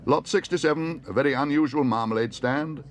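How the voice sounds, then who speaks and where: A man voicing a loud, brisk male auctioneer who calls out bids in a raised, sing-song voice. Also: fairly high pitch, pitched above the performer's own voice, lightly acted. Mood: booming.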